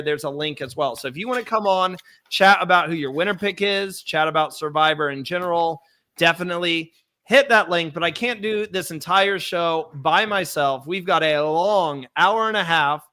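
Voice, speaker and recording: A young man hosting a live podcast, chatty and upbeat, his voice hoarse as it recovers from being sick. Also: on the high side, moderate pace, bright, forceful tone. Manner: chatty, upbeat, reads viewer comments aloud. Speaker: podcast host.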